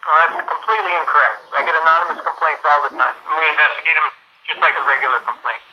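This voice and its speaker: A man's voice: a little high, steady tone, fast. A man speaking as a police chief, answering interview questions over the phone in a matter-of-fact, official manner. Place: telephone call. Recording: band-limited telephone line.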